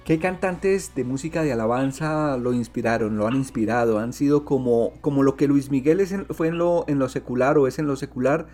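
A man's voice: mid-range voice, fairly quick, hardly any pauses, darkish tone, soft background music. A man with a Colombian accent interviewing a guest on radio, asking long questions and correcting himself mid-sentence. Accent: Colombian Spanish.

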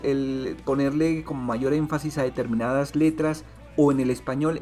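A man's voice: on the high side, darkish tone. A man with a Colombian accent interviewing a guest on radio, asking long questions and correcting himself mid-sentence. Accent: Colombian Spanish.

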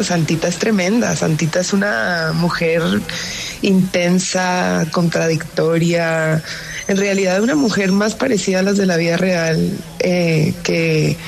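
A woman's voice: fairly low pitch, vocal fry, slight background noise. A young adult woman from Colombia with a paisa accent, answering interview questions thoughtfully with many fillers and restarts. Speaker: actress guest.

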